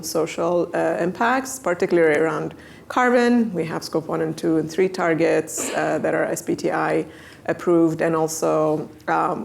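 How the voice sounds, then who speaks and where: A woman introducing herself on a conference panel in a halting way with many fillers. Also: low-pitched, creaky. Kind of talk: panel presentation.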